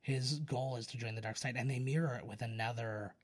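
Male podcast host, mid-pitched voice, casual and rambling, swears freely, enthusiastic storyteller of fan lore. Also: fairly even intonation, fairly quick, husky. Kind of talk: casual chat, recounting film lore.

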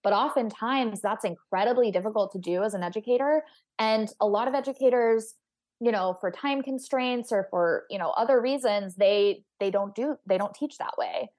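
A woman's voice: on the high side, moderate pace, a little mellow. A young adult woman from Texas, an astrophysicist speaking as an interview guest in a chatty, candid and reflective way. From United States (Texas). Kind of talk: podcast interview conversation.

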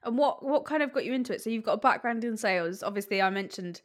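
A woman's voice: on the high side, expressive, fast. A woman hosting a podcast, introducing her guest in an upbeat, encouraging way. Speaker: podcast host. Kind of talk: casual interview chat.

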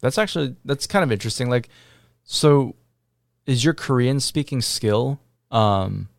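A man's voice: mid-range voice, animated, measured pace, vocal fry, no room sound. A man hosting a podcast, introducing the episode and asking questions in an easygoing, encouraging way. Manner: easygoing, encouraging with short backchannels. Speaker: podcast host. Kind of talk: casual interview.

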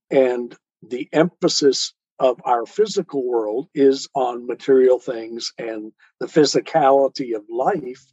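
A man explaining his ideas in a measured, didactic way, building each point step by step, on a slightly dull recording. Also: medium pitch, dark tone, husky, no echo. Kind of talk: explanatory talk on spirituality.

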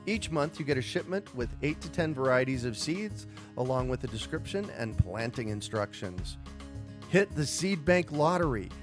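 A man's voice: mid-range voice, expressive, normal speed, music in the background. A man hosting a podcast, reading an intro and asking his guest questions in a friendly way. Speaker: podcast host. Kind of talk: scripted intro and casual interview questions.

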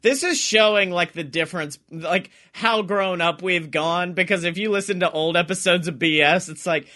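High-pitched, bright-voiced man bantering. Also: normal speed. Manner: animated, jokey, slangy, acts out exaggerated quotes. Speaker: podcast co-host. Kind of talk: casual comedic podcast chat.

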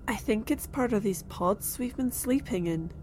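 An actress playing a calm woman held captive on an alien ship, speaking in a soothing, steady way. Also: fairly high pitch, moderate pace, faint music behind, natural voice. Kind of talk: audio drama acting.

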